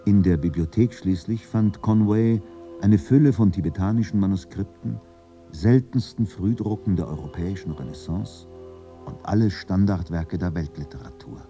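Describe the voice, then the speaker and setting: A man narrating a literary radio drama in German in a measured, solemn, composed way. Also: low voice, mellow, highs cut off, soft background music.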